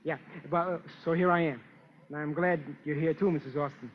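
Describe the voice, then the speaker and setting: An actor playing a fussy, oily furniture dealer in an old radio crime drama, hesitant and ingratiating. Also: male voice, a little high, steady tone, a little fast, natural voice. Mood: fussy, pushy. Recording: muffled, faintly noisy old radio recording.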